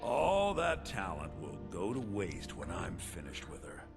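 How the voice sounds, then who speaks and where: A man's voice: deep voice, huge pitch swings, unhurried, gravelly, music in the background, full character voice. An actor playing a spoiled, lecherous young rich man, a glib and entitled schemer sitting in jail, in a fantasy video game. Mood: brooding, vengeful. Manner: glib, entitled, self-pitying.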